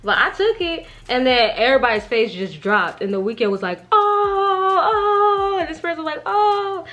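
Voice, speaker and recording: A woman's voice: very high voice, lively intonation, moderate pace, sharp tone. A young woman telling a story in a casual, slangy, gossipy way, acting out bits of dialogue as she goes. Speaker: vlogger. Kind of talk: animated casual storytime chat.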